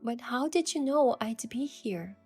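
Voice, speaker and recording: A woman's voice: fairly high pitch, expressive, unhurried, darkish tone, slightly rough. A woman reading scripted first-person romance narration and voicing the heroine. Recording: music behind the voice.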